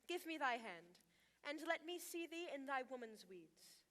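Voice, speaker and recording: A woman's voice: sits high, big pitch swings, a little slow, fairly bright tone, full character voice. Woman playing a lofty nobleman in an echoey hall. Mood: warm, noble. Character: lovestruck young nobleman, imperious and quick to passion. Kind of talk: Shakespearean stage acting. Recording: echoey hall, live stage.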